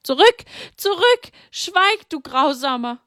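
A woman's voice: unhurried, no room sound, studio quality. A woman voicing Mary, a grieving, devout mother who pleads in a raised, anguished voice. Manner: pleading, anguished, high-pitched.

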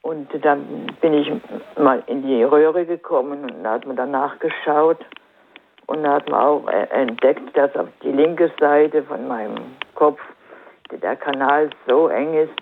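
A woman with a southern German accent calling in to a radio show with a cold, telling her story haltingly with many fillers. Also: rumbling low. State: has a cold. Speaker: caller. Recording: crackly phone line.

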